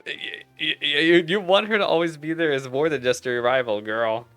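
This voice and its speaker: A man who streams an online fantasy game, chatting casually and excitably with gushing, teasing commentary. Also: a little high, normal speed, smooth, no echo, soft background music. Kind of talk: casual game commentary mixed with reading dialogue aloud.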